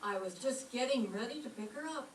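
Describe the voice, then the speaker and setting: A woman's voice: fairly high pitch, expressive, fairly quick, close to an everyday voice. A woman playing a cheerful, helpful landlady in a radio comedy. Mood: obliging. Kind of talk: old-time radio comedy acting. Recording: echoey room, distant mic, faint noise.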